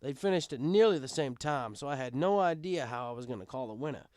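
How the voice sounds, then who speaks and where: A man's voice: medium pitch, expressive, fast talker, a little mellow, dry recording, studio quality. A man reading a scripted first-person story as an audiobook narrator, with dramatic emphasis. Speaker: audiobook reader.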